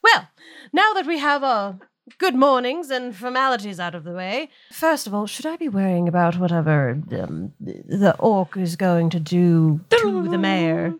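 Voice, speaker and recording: Female tabletop player, lively swooping intonation, dry close sound, bossy and wordy in character. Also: medium pitch, a little slow, dry recording. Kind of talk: in-character play and casual table talk.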